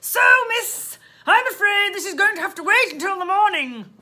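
A woman voicing a gruff, growling, sceptical policeman on the phone in a children's storybook, with booming, drawn-out questions. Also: lively intonation, bright, forceful tone, exaggerated voice. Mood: officious. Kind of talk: children's storybook read-aloud.

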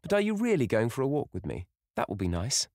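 A man's voice: mid-range voice, wildly swooping pitch, quick pace, darkish tone, dry recording, studio quality, pitched above the performer's own voice. A man voicing a sweet, playful young girl with a light, teasing manner in a storybook reading.

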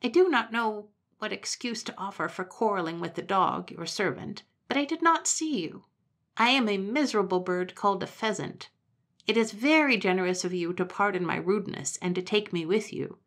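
A woman's voice: mid-range voice, animated, moderate pace, fairly bright tone, voice pushed up. A woman voicing a humble, apologetic pheasant in a storybook reading, in a meek, pleading way.

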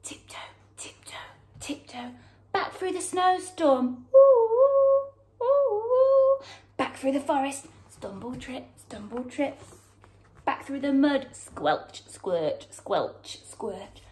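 A woman in her thirties reading a picture book aloud to young children in an expressive, theatrical way, drawing out words and dropping into hushed tones. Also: high-pitched, slow pace. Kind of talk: animated children's storytelling. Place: small room at home, indoors.

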